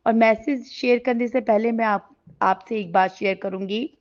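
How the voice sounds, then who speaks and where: A middle-aged woman with a sore throat, sharing a devotional gratitude talk at home before a shrine, in a gentle, halting way with many pauses. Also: fairly high pitch, fairly even intonation. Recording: slightly dull lo-fi recording.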